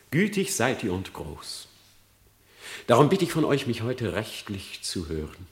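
Sly, swooping, wheedling fox. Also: male voice, lowish voice. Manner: wheedling, theatrical, mock-humble. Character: sly, flattering, greedy male fox feigning piety. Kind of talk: dramatic verse radio play.

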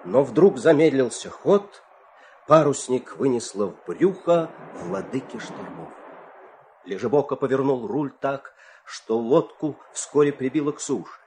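A man's voice: medium pitch, lively intonation, unhurried, mellow, slight background noise. A man narrating a fairy tale for an audio play in a theatrical, suspenseful storytelling manner.

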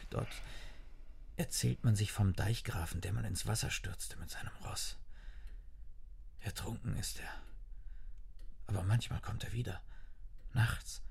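An actor in a German radio play as a stern, driven dike master and duty-bound husband, with a gravelly, hushed voice. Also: male voice, fairly low pitch, exaggerated voice.

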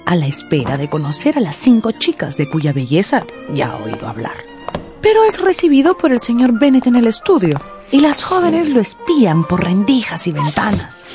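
A woman narrating a scripted radio drama as storyteller, playfully, with dramatic pauses. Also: mid-range voice.

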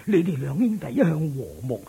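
Very husky, creaky old servant. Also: male voice, fairly high pitch, animated, brisk, dark tone, exaggerated voice. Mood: frightened, pleading. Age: older. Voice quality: raspy, creaky. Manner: stammering, sighing, fussing. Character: anxious, deferential old male family servant. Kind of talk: radio drama acting.

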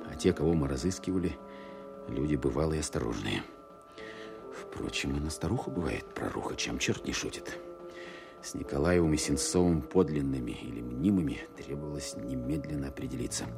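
An actor playing a shrewd wartime officer, thinking aloud in measured, reflective radio-play narration. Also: male voice, very deep voice. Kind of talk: dramatic first-person narration, radio play. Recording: radio drama with music underneath. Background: clear music.

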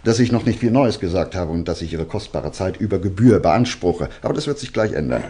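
An actor playing a self-assured male detective in a German radio drama, teasing out his revelations with deliberate, suspenseful storytelling. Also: deep voice, lively intonation, warm tone, slightly rough, heavily acted. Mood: teasing, triumphant.

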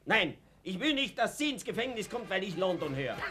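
Noisy vintage recording, sly defiant little man, Austrian accent, highish pitch, dry irony, radio drama. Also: sing-song, sharp tone, full character voice. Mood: amused, innocent. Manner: ironic, mock-polite, unhurried. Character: Herr Fuchs, wry, slyly defiant Viennese little man. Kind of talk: radio play acting.

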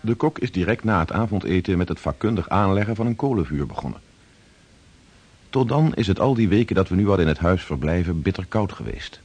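An actor playing a grave seafaring chronicler, narrating his journal in a measured, sober way for a radio play. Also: low-pitched, a little mellow, slightly rough.

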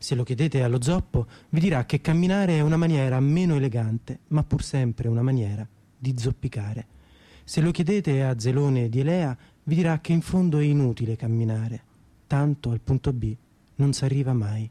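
An actor playing a whimsical poet, reciting surreal soliloquies for radio theatre with deliberate, declamatory phrasing over plucked guitar. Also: male voice, mid-range voice, dark tone, lightly acted. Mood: wry, playful.

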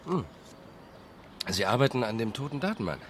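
Driven, evasive male researcher. Natural radio drama delivery, very varied pitch, slight ambience. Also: sing-song, normal speed, a bit bright, a little hiss or hum. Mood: probing, guarded. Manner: probing, clipped questions, evasive answers. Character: driven, evasive male computer scientist, quietly persistent.

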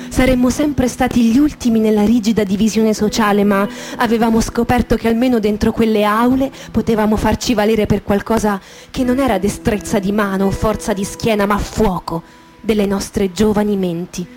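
A woman narrating a first-person literary monologue for radio theatre in measured, deliberate phrases. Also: medium pitch, a little mellow. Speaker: actress narrator. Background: guitar music.

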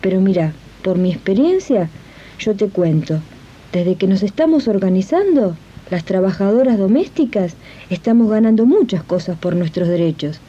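A woman's voice: medium pitch, animated, moderate pace, mellow, slightly rough. A woman acting an upbeat, supportive domestic worker in an old community radio play, speaking colloquially and encouragingly with an Argentine accent. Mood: persuasive, rallying. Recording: muffled noisy old radio tape.

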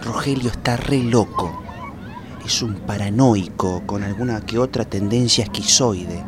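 A man's voice: on the low side, measured pace, background noise, lightly acted. A man playing a bumbling, childish detective in a comedy radio drama, with an Argentine accent and a hesitant, rambling delivery. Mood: nervous scheming. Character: bumbling, childish young man detective, nervous schemer and corny romantic.

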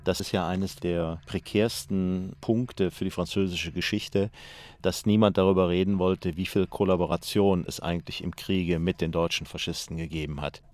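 Male expert commentator, deep and flat in pitch, deliberate phrasing, explanatory interview delivery. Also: no echo.

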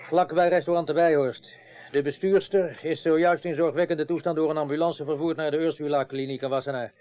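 An actor playing a patrol officer in a radio drama, excitable, with clipped procedural speech. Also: male voice, a little high, a little fast, lightly acted. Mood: clipped, official.

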